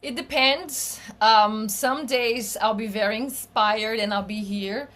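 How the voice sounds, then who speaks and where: A woman's voice: on the high side, takes it slowly. Brazilian woman in casual chat. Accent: Brazilian Portuguese. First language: Portuguese. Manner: relaxed, chatty, frequent restarts. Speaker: musician guest. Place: home studio room.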